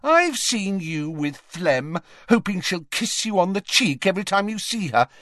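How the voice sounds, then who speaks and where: A man voicing a furious, mocking teenage girl with a shrill, combative way of talking, reading from a storybook. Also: expressive, slow, a bit bright, no room sound, clean studio sound. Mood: furious, scornful.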